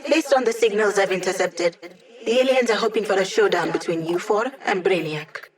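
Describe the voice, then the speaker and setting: A woman's voice: mid-range voice, a little fast, distant mic, close to an everyday voice. An actress voicing a sassy, cocky young female hacker in a video game, with wry, teasing and sarcastic delivery. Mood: sardonic. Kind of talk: video game character voice lines.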